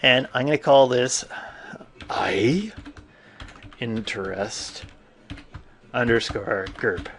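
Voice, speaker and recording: Gravelly man with a stuffy cold. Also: mid-range voice, takes it slowly, pauses often, fairly bright tone. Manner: casual, thinking aloud with frequent fillers. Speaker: 3D animation instructor. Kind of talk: screen-recorded software tutorial.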